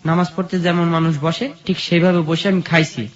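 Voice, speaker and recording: A man's voice: a little high, level tone, fairly quick. A man with a rural Bangladeshi accent recounts his own life in an interview, telling it plainly step by step with repetition. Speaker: guest telling life story. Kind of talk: personal storytelling in an interview. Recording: dull, muffled lo-fi sound.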